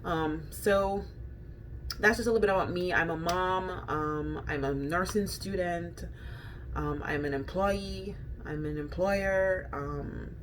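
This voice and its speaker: A woman's voice: low-pitched, a little hiss or hum, low-quality recording. A woman from Jamaica with a Jamaican accent, chatting casually to camera as a food vlogger, rambling with frequent ums and restarts.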